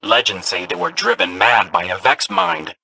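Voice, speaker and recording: An excitable little robot companion from a video game, with a bright, forceful voice that swoops wildly. It is bookish and nervous, telling lore with theatrical eagerness over noticeable background noise. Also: male voice, medium pitch, moderate pace, creaky, full character voice. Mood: spooky storytelling. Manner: theatrical, eager storytelling with jittery, swooping delivery. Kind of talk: video game character dialogue.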